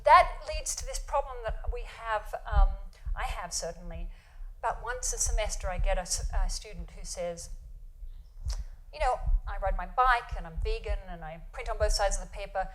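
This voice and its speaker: A woman with an Australian accent giving a university guest lecture in a quiet voice, breaking off mid-sentence and asking rhetorical questions. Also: mid-range voice, moderate pace, poor audio. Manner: quiet, self-interrupting, rhetorical questions with "right?". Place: lecture hall.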